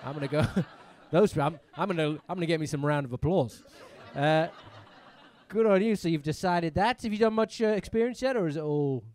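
A man's voice: fairly high pitch, fairly quick, darkish tone. A young man with a mixed British and American accent doing stand-up crowd work on a comedy club stage, teasing the audience and swearing, speaking into a handheld mic.

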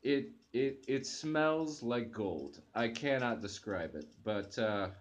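Slow-talking young man reviewing coffee. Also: mid-range voice, expressive. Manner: enthusiastic, hesitant restarts and fillers. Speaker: channel host reviewing coffee. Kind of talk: casual product review to camera. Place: living room, on a sofa indoors. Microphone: webcam at close range.

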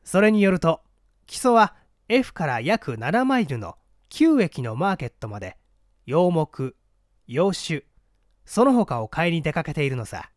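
A man's voice: on the high side, sing-song, measured pace, dry recording, clean studio sound. A man voicing a shrewd, condescending scholar-detective in a mystery reading, lecturing methodically and a little pompously. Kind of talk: dramatic storybook reading of a mystery.

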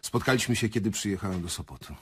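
Radio drama: dazed, evasive director, low and very husky, hesitant delivery. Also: male voice, expressive. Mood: guarded, subdued. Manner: hesitant, halting. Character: shaken, evasive male theatre director, halting and dazed. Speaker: actor in a radio play.

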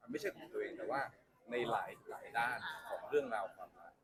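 A man, a music boss, giving a casual press interview in a halting way, repeating words, with a crowd around him. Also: medium pitch, background noise. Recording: busy crowd chatter behind.

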